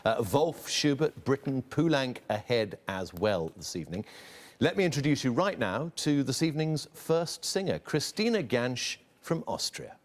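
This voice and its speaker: A man presenting a live concert broadcast from a concert hall, speaking in a measured, formal way. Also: mid-range voice, very animated.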